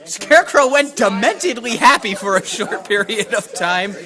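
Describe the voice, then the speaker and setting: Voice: mid-range voice, lively intonation, normal speed, bright, forceful tone. A person improvising an absurd, profane comic story in a mock storybook narration.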